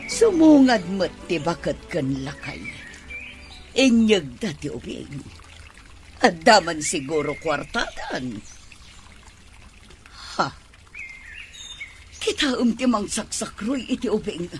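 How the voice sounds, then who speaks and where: A man's voice: high-pitched, sing-song, slow, pauses often, faint music behind, heavily acted. An actor playing an agitated, scolding older man in a radio drama. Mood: fretful.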